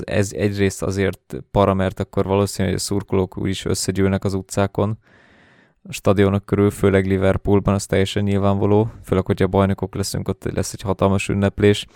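A man's voice: deep voice, monotone, a little mellow, no room sound, clean studio sound. A man hosting a podcast, talking in a casual, conversational style. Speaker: podcast host.

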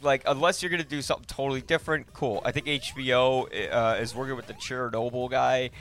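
A man's voice: medium pitch, steady tone, a little fast, fairly bright tone. A man hosting a podcast, discussing films casually and conversationally as he thinks aloud. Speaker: podcast host.

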